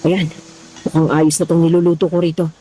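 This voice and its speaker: A woman's voice: fairly low pitch, flat delivery, brisk, dark tone, breathy edge. A woman playing an exasperated middle-aged Filipino mother in a radio drama, scolding and grumbling about household chores. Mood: busy, muttering. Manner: scolding, sighing, muttering to herself. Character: exasperated middle-aged mother nagging her son's lazy girlfriend.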